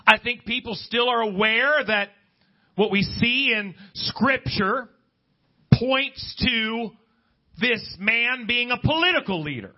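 A middle-aged American man preaching as a pastor, in emphatic, deliberate phrases with pauses. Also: very high-pitched, animated. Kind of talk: preaching a sermon to a congregation, reading scripture. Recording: dull, lo-fi sermon recording.

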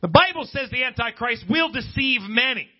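A middle-aged American man preaching as a pastor, in emphatic, deliberate phrases with pauses. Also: very high voice, lively intonation. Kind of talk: preaching a sermon to a congregation, reading scripture. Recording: dull, lo-fi sermon recording.

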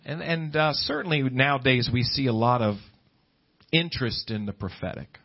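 A middle-aged American man preaching as a pastor, in emphatic, deliberate phrases with pauses. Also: mid-range voice. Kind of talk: preaching a sermon to a congregation, reading scripture. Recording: dull, lo-fi sermon recording.

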